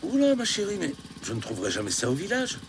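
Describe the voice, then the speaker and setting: An actor voicing a hurried, good-natured father in a cartoon. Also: high voice, huge pitch swings, background noise. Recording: music under dialogue.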